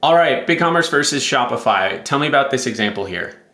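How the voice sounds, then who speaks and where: A man's voice: medium pitch, expressive, brisk, pushed and bright. A man hosting a show with casual expert commentary, in a roomy, echoey recording. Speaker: host.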